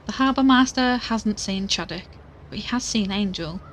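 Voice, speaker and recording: An actress playing a worried, determined young podcaster in an audio drama, earnest and conversational. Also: female voice, medium pitch, moderate pace, a little hiss or hum, close to an everyday voice, poor audio. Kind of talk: audio drama dialogue and recorded narration.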